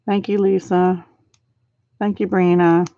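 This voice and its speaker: A middle-aged woman hosting a live card-making stream, talking softly and thinking aloud as she works and chats with viewers. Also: fairly low pitch, flat delivery, slow, no echo. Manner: soft-spoken, thinks aloud while working. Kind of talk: casual live craft demo, chatting with viewers. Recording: dry, slightly dull home stream audio.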